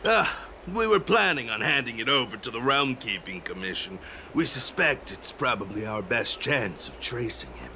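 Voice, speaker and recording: A man voice acting an earnest, grateful and rather bungling game character, with formal, old-fashioned, drawn-out phrasing. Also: medium pitch, very animated, slight background noise. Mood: musing. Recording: loud music behind.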